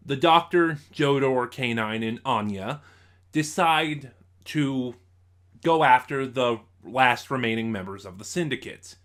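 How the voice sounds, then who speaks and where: Halting, digressive man giving a casual review. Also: mid-range voice, sharp tone. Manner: halting, digressive, with self-corrections and asides. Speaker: audio drama reviewer. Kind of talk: casual review with story recap.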